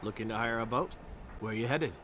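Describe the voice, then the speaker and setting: A brusque ferryman from a fantasy video game, acted by a man. He talks quickly at a medium pitch, amid clearly noisy surroundings. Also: a bit bright, close to an everyday voice. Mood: businesslike. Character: brusque male fantasy ferryman offering passage. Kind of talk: scripted fantasy game dialogue.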